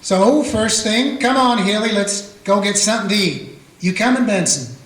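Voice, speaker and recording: A man's voice: sits high, normal speed, a bit bright. A man playing a plain-spoken small-town Western sheriff who also narrates, with folksy grammar. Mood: steady. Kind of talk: amateur radio play acting with narration. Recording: roomy echo.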